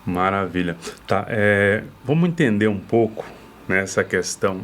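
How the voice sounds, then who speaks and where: A man's voice: on the low side, lively intonation, moderate pace, fairly bright tone. Brazilian male podcast host, casual interviewer, tag-question habit. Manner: chatty, frequent tag questions.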